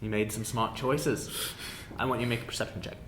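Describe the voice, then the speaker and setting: 방 울림 속 남자 게임 마스터. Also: male voice, steady tone, a little fast, a bit bright. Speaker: game master. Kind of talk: casual tabletop game narration. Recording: roomy echo, rumbling background noise.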